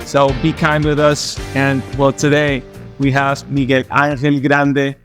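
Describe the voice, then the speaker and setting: A man's voice: mid-range voice, completely flat delivery, a little slow, soft background music. A man hosting an in-person podcast interview, effusive and enthusiastic, slipping in the odd Spanish phrase, speaking into a handheld mic. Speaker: podcast host.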